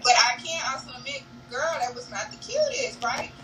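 Young woman on a video call, kinda monotone but candid, slightly high, sounds far from the mic. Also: moderate pace, over a noisy crowd. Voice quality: naturally monotone voice. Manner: candid, laughing often, says honey and y'all. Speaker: reality show cast member, guest. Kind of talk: casual interview chat. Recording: distant mic with faint noise.

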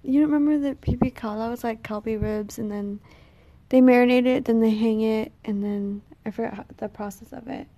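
A woman's voice: on the high side, steady tone, a little fast, dark tone. A young woman who grew up in Texas, chatting casually with her boyfriend and teasing him. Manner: laughing, teasing. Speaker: vlogger's girlfriend. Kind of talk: casual chat.

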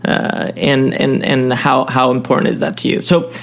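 Male interview host, middle pitch, gravelly, curious and rephrasing. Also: flat delivery, normal speed. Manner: curious, rephrasing questions with fillers. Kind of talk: conversational interview.

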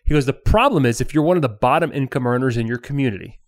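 A man co-hosting a personal finance podcast, relaxed and conversational, with a teasing streak. He explains ideas with lively jumps in emphasis. His voice sounds close and dry, with no room around it. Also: medium pitch, quick pace, dry recording. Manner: playful, teasing, animated. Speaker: podcast co-host. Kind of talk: casual financial podcast chat.

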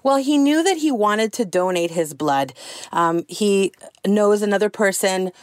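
A woman reporter telling a story in a conversational, animated way. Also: mid-range voice, a little slow, no room sound, studio quality. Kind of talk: news feature narration.

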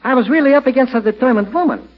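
An actor in a 1940s radio comedy playing a fast-talking, put-upon secondhand furniture dealer with ornate, comic sales patter. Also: male voice, extremely high pitch. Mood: boastful. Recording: dull old radio recording.

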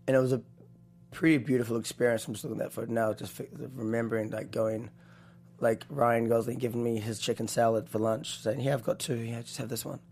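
A man with a New Zealand accent, a choreographer telling stories as an interview guest, animated, laughing often and restarting his sentences. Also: mid-range voice, quick pace, vocal fry. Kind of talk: casual interview chat.

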